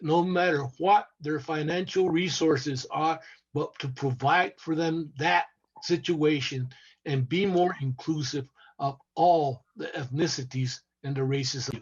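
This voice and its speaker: Husky older man, halting. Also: on the high side. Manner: halting, repeats words while searching. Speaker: panel guest, former cast member. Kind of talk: video call panel discussion. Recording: muffled lo-fi video call audio.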